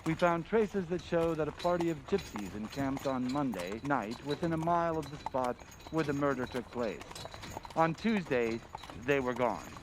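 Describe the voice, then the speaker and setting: A man playing an earnest, methodical police inspector in an old-time radio drama, giving formal, deliberate reports. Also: medium pitch, animated, close to an everyday voice. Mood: assured, explaining. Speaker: community theatre actor. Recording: clear background noise.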